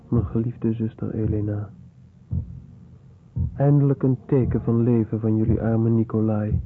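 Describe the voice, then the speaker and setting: An actor playing a weary, wretched male prisoner reading out his letter home in a measured, plaintive radio drama monologue. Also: on the low side, natural voice. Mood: tender, weary. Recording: dull lo-fi old radio play recording.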